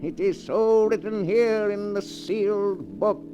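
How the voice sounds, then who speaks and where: A man's voice: sits high, takes it slowly, lo-fi sound, loud music behind the voice. A man playing a sinister, gloating keeper of a book of terror tales, hosting in theatrical 1940s radio style. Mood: gloating, ominous. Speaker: horror anthology host.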